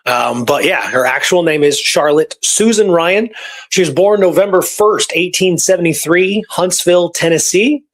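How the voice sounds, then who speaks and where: A man's voice: high-pitched, moderate pace, fairly bright tone. A man hosting a podcast, talking casually about history in an informal, explanatory way and repeating points for emphasis. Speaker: podcast host. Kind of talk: casual conversational history talk.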